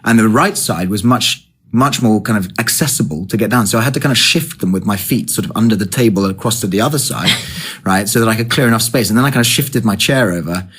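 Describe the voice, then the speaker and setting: Husky-voiced young London man. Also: on the low side, fast talker. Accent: London English. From England. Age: 20s. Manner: rambling storyteller, restarts and self-corrections, animated when excited. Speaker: guest. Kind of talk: casual conversation.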